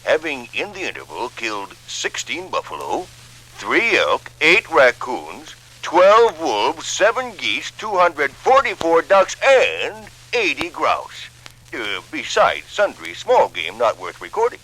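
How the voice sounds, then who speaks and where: A man's voice: a little high, wildly swooping pitch, slow pace, pushed and bright, exaggerated voice, poor audio. An actor playing a boastful nineteenth-century hunter, formally reciting his record in a 1950s radio dramatization. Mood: proud, declaiming.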